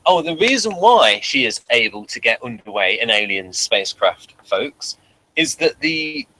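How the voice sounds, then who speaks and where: A man hosting a casual review show, talking in a halting, rambling way and reading summaries aloud. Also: medium pitch, sharp tone, poor audio. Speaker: podcast host.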